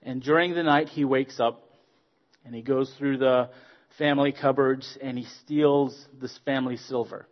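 A man from Zambia, a guest preacher giving a friendly sermon with stories, joking and pausing on fillers. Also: mid-range voice, fairly even intonation, highs cut off. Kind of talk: sermon with storytelling.

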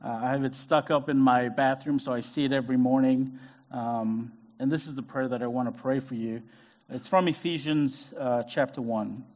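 A middle-aged man preaches in a casual, conversational way, pausing on fillers and restarting his sentences. His voice sits at a middle pitch for a man. The church recording is muffled and lo-fi. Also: fairly even intonation, darkish tone. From Zambia. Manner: hesitant, many fillers and restarts. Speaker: guest preacher. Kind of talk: conversational sermon. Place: church sanctuary.

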